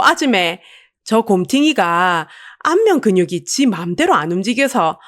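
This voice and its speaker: Woman playing a market stall man, fussy, kindly, coaxing, Busan accent, lively pitch, audio drama. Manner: fussing, hurried coaxing. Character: fussy, kindly male fish-cake stall neighbour.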